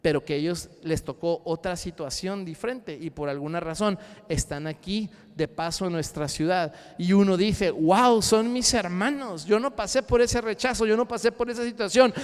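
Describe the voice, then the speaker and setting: A pastor preaches in a church hall, his high, smooth, ringing voice trailing off into a long reverb. He rises to loud, climbing cries, then drops to hushed, low asides. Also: moderate pace. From Mexico. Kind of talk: sermon. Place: church sanctuary.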